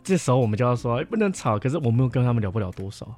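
A man's voice: medium pitch, big pitch swings, racing, a little mellow, faint music behind. A young adult man with a Taiwanese Mandarin accent, chatting casually as a podcast speaker. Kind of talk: casual chat.

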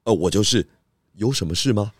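A man voicing a calm, stout pig detective, composed and good-humored, in a children's audio drama. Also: fairly low pitch, a little fast, no echo, studio quality.

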